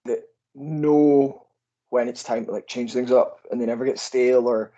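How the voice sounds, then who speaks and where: A man's voice: mid-range voice, fairly even intonation, moderate pace, warm tone, highs cut off. A Scottish man with an Aberdeen accent, a wrestler guest chatting easily with lots of fillers. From Scotland. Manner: easygoing, filler-heavy, enthusiastic. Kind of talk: casual interview chat.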